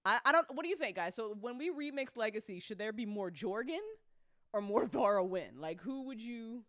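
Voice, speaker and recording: A woman hosting a casual livestream, chatting informally with viewers in a playful, teasing, sweary and animated way. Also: a little high, moderate pace, dry recording, clean studio sound. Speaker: host of an audio drama network's livestream.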